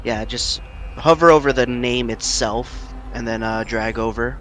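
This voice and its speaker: Mid-pitched man, helpful player. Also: measured pace, a bit bright, a little hiss or hum. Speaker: tabletop role-playing game player. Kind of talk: casual helpful chat.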